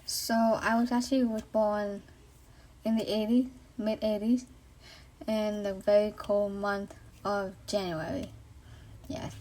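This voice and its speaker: A woman's voice: mid-range voice, a little mellow, rough recording. A woman in her late thirties from the Boston area, with a Boston accent, telling her personal faith story in an earnest, rambling way with many fillers and restarts, recorded on a phone. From Boston area, USA. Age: late 30s. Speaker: vlogger sharing her faith testimony. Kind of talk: casual spoken testimony. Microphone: iPhone.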